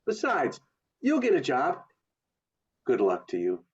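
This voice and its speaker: Muffled call audio carries a kindly, plain-spoken small-town man from a radio play, acted by a man. His voice is husky and folksy, with very animated pitch. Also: unhurried, full character voice. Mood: warm concern. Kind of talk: community theatre radio play acting. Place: home, over video call.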